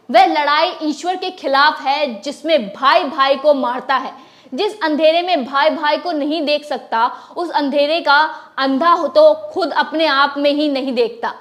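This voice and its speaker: Female news presenter, notably high pitch, formal read-aloud commentary with the odd stumble. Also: a bit bright.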